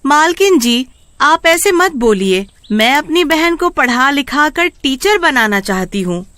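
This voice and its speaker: Woman acting pleading, high-pitched anxious elder sister. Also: very animated, a little slow, fairly bright tone, dry recording, exaggerated voice. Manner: pleading, earnest. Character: poor, anxious, devoted young working-class elder sister, pleading.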